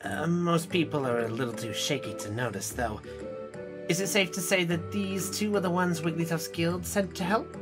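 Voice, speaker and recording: A woman's voice: fairly low pitch, moderate pace, over music, natural voice. A woman voicing a laid-back male monkey-creature who is a traveling artist, casual and boyish, in an audio drama. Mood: casual, upbeat.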